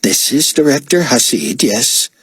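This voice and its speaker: A male narrator plays a composed male official in audiobook dialogue. He is polite but wry and dryly sarcastic, with lively pitch movement and a slightly husky, close, dry sound. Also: a little slow, no echo, exaggerated voice. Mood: formal.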